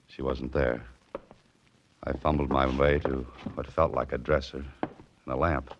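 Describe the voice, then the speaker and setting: An American actor playing a wry, hard-boiled mystery hero in a 1940s radio drama, with dry, clipped wisecracking delivery. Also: male voice, rumbling low, level tone, measured pace, slight background noise. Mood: tense, wry narration. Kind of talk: 1940s radio mystery acting and first-person narration. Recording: muffled old radio recording with music.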